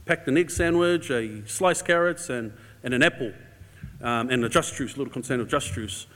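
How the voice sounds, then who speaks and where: A man's voice: mid-range voice, moderate pace, fairly bright tone. A man with a New Zealand accent gives an informal church talk as a guest speaker, sharing a personal story in a casual, conversational, somewhat hesitant way. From New Zealand, grew up in Auckland. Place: large indoor church hall. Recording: echoey hall with long reverb.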